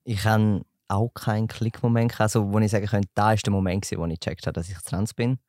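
A young man from St. Gallen with a Swiss German accent, a podcast guest chatting in a relaxed, joking way. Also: on the low side, fast talker, dry recording, clean studio sound. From St. Gallen, Switzerland. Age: young adult. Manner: relaxed, joking, laughs while talking. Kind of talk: casual podcast chat.

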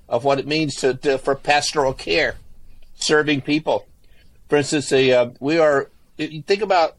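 A man's voice: medium pitch, dry recording. Earnest man who restarts his phrases. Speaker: author and former pastor. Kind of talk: podcast interview.

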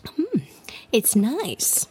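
A woman's voice: a little high, wildly swooping pitch, extremely slow, warm tone, a little hiss or hum, exaggerated voice. An actress playing an imperious, playful and callous young queen of ancient Egypt in an audio drama. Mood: haughty, playful. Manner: haughty, teasing.

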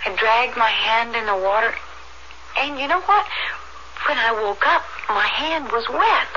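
A woman's voice: on the high side, animated, unhurried, sharp tone. An actress playing a troubled, dreamy young woman, confused and pleading, in a radio drama. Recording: dull, very noisy vintage radio recording.

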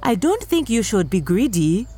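A woman's voice: medium pitch, very animated, moderate pace, dark tone, pitched above the performer's own voice. A woman voicing a sensible, cautious dog in a children's folktale, speaking in an earnest, admonishing way. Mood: concerned, admonishing. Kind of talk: animated children's storytelling.

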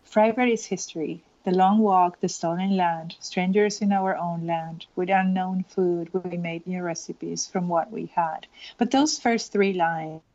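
A woman's voice: on the low side, fairly even intonation, unhurried, darkish tone, highs cut off. A woman from Peru, an illustrator speaking earnestly in an interview and often restarting her phrases. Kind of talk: casual interview.

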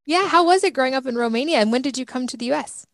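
A woman's voice: sits high, lively intonation, racing, dry recording, studio quality. A woman hosting a podcast, introducing a guest and asking questions in an upbeat, enthusiastic way. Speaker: podcast host.

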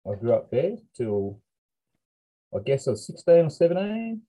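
Husky, muted-toned Australian man. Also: fairly high pitch, big pitch swings, moderate pace, dark tone. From New South Wales, Australia. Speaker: podcast guest. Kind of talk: casual chat, personal recollection.

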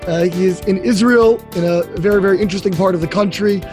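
A man hosting an interview, introducing his guest and asking measured, friendly questions. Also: high voice, fairly even intonation, a little mellow, faint music behind. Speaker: host. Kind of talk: podcast interview.

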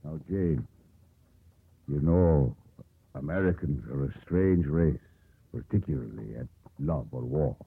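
A stern but wry older ship's captain and father in a vintage radio play. His voice is deep and husky, with dry patience, through a tinny band-limited broadcast. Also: expressive, a little slow, long pauses, exaggerated voice. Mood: wry, patient. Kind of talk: 1950s radio drama acting.

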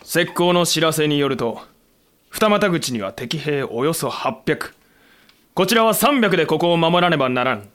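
Battle-hardened samurai leader, terse, wry, commanding, raised pitch, audio drama. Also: male voice, lively intonation, moderate pace, a bit bright. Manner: terse, commanding, gruff phrasing. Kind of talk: Japanese period audio drama acting.

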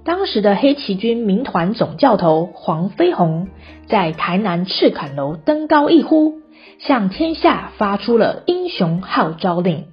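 A woman's voice: mid-range voice, big pitch swings, unhurried, slightly rough, highs cut off. A woman with a Taiwanese Mandarin accent narrating a scripted story for radio. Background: music.

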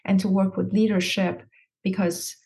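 A woman's voice: mid-range voice, level tone, moderate pace, creaky, darkish tone. A middle-aged woman from Iran, an education nonprofit leader, answering interview questions earnestly and articulately, with fillers.

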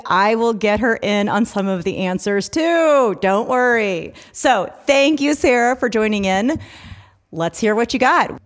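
A middle-aged woman hosting a podcast, talking casually and earnestly as a parent giving practical advice. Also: a little high. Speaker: podcast host.

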